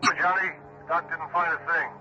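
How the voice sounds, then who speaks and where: An actor playing a matter-of-fact police sergeant in a 1960s radio drama. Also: male voice, on the high side, fairly even intonation, moderate pace, bright, forceful tone, faint music behind. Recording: dull vintage radio recording.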